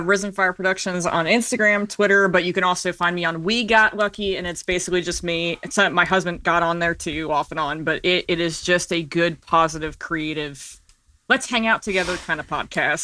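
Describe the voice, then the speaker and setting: A woman's voice: on the low side, fairly even intonation, a little fast, pushed and bright, no room sound. A woman speaking as a podcast guest in casual conversation, earnest and encouraging, stressing her points firmly.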